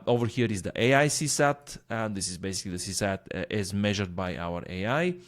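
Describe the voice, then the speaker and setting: Man presenting an informal software demo. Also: fairly low pitch. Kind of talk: walkthrough software demo narration.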